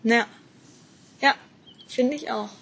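A woman's voice: on the high side, slow, a bit bright, a little hiss or hum. A woman chatting casually, searching a little for her words. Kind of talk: casual chat.

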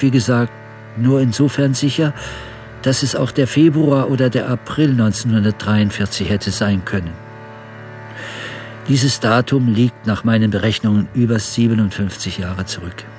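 A man's voice: medium pitch, darkish tone, natural voice. Pedantic male letter writer over music, acted. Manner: formal, pedantic, precise. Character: obsessive man writing pedantic letters about apocalypse. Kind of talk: radio drama monologue, reading out a letter.

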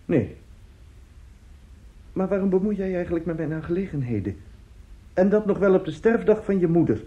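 An actor in a radio drama playing a middle-aged, self-justifying seducer and family friend, speaking haltingly and emphatically. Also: male voice, sits high, lively intonation. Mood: indignant. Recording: dull, muffled radio play recording.

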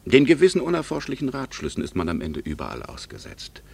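An actor playing a wistful, ironic middle-aged gentleman and secret admirer in a 1960s German radio drama, with courtly, hesitant speech that can drop to a near-whisper. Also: mid-range voice, wildly swooping pitch, fast talker, vocal fry, slightly rough, full character voice. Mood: wry, wistful.

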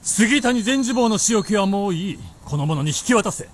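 A stern, imperious warlord in a radio drama. The lordly, commanding voice is very high and sweeps widely in pitch, with a little noise behind it. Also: male voice, animated, moderate pace. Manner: commanding, threatening, archaic lordly speech. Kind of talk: Japanese radio drama acting.

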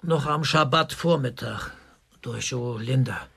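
An actor playing a defensive, tormented army officer under interrogation in a German radio crime drama, with a husky, gravelly voice. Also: male voice, medium pitch, measured pace, bright, forceful tone, heavily acted. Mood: subdued, confessional. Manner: agitated, swinging between indignant outbursts and subdued confession. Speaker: suspect, military governor.

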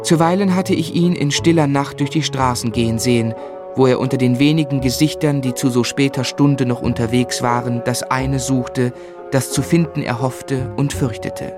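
Young gentleman narrator male actor, measured, earnest, medium pitch, music underneath. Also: steady tone, lightly acted. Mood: reflective, grave. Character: earnest, compassionate young gentleman writer telling his life. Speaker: narrator and lead character. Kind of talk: literary first-person narration in German radio drama.

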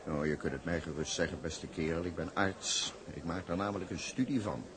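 An actor playing a nosy, patronising gentleman doctor in a period drama, pompous and confidentially insinuating. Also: low voice, brisk. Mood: confidential, prying. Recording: party crowd chatter behind.

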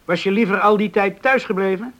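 A man's voice: high-pitched, fairly quick, a bit bright. An actor playing a friendly, modest young man from an island village in a radio drama. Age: young adult.

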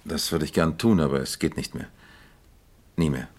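Very deep-voiced, probing police captain. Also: male voice, a little mellow. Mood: grave, insistent. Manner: deliberate, questioning, official. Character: calm, probing male East German police captain. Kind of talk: radio crime drama acting.

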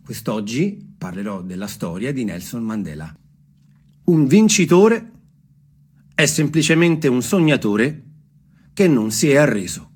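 A man tells a story in a theatrical, reflective way, with dramatic pauses and shifting pace. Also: mid-range voice, big pitch swings, darkish tone, slightly rough. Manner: dramatic pauses, shifts between slow and quick phrases. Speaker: storyteller, stage performer.

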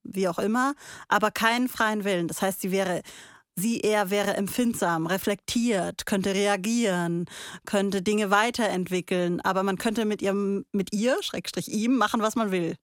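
A woman's voice: mid-range voice, moderate pace, no echo, studio quality. A woman hosting a casual analytical discussion, summing up and probing with questions. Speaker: discussion host.

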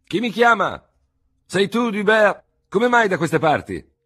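Brief: man doing a flat, dry old army surgeon, husky and raised. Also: sits high, unhurried, pitched above the performer's own voice, exaggerated voice. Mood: dry, questioning. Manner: flat, dull, repeats his favorite word.

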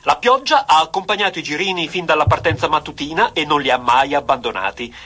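An actor playing an excitable 1920s radio cycling commentator in a florid, emphatic, declamatory style. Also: male voice, a little high, fast. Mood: solemn reporting. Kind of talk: vintage sports radio commentary acting.

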